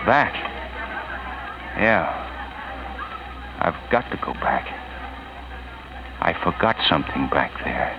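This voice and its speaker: A man's voice: rumbling low, sing-song, slow pace, pauses often, heavily acted. A man playing a tormented, anxious husband with a hesitant, brooding delivery in a 1940s radio drama. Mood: dazed. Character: tormented, anxious husband losing grip on reality. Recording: muffled old radio recording with music.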